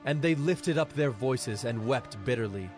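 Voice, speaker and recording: A man's voice: medium pitch, animated, moderate pace. Male scripture narrator over music. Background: background music.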